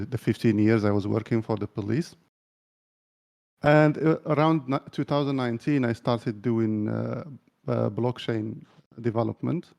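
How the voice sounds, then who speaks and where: A man's voice: lowish voice, creaky, a little mellow. A middle-aged man from Algeria, a tech executive, answering interview questions casually and hesitantly with many fillers. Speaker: CTO.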